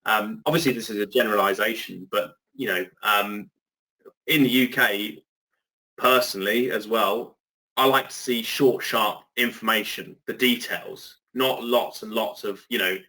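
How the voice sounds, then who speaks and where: A man's voice: fairly low pitch, fairly even intonation, measured pace, bright, forceful tone, low-quality recording. An English man speaking as a guest on a webinar, chatty and loose, with many fillers and restarts, on a video call. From England. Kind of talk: conversational webinar discussion.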